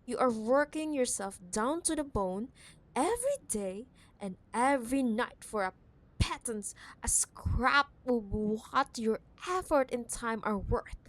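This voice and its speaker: A woman playing a possessive, condescending wealthy CEO ex-girlfriend in an intimate cyberpunk audio roleplay, teasing and scornful with drawn-out emphasis. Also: fairly high pitch, lively intonation. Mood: exasperated, scornful. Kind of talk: ASMR audio roleplay, intimate dramatic monologue.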